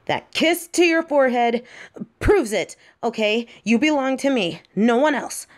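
A woman playing a possessive, brash but secretly smitten bully girl in an audio roleplay, speaking straight to the listener in a bossy, halting way. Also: a little high, animated. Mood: possessive, flustered. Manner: bossy, taunting, flustered halting delivery.

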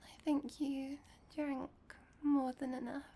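A woman playing a shy, nervous and tender young vampire girlfriend in an intimate ASMR roleplay, stammering and often slipping into breathy whispers. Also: high voice, little pitch movement, takes it slowly, dark tone. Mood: tender, bashful.

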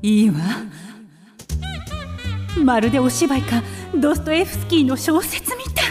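A woman's voice: sits high, very animated, measured pace, over music. An actress playing a wealthy upper-class lady in a radio drama, effusive and self-satisfied, with theatrical, refined ladylike speech. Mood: excited, gleeful.

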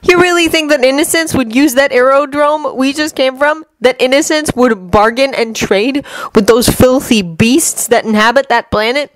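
A woman's voice: high voice, normal speed, dry recording, pitched above the performer's own voice, lightly acted, rough recording. A woman reading a fan fiction audiobook voices a cold, scornful male schemer who talks in a menacing, persuasive way. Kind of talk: audiobook character voice.

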